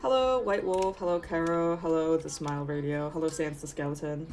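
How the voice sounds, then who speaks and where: A woman's voice: low-pitched, fairly even intonation, a little fast, darkish tone, slight background noise. A young woman from Taiwan chatting casually on a livestream while she works, rambling through tangents and laughing at her own jokes. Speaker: VTuber streamer. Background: faint background music.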